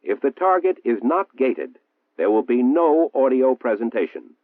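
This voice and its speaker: An American man reading a 1960s military training film narration in a formal, measured, precisely enunciated manner. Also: mid-range voice, sing-song. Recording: muffled, roomy 1960s film soundtrack, phone-like.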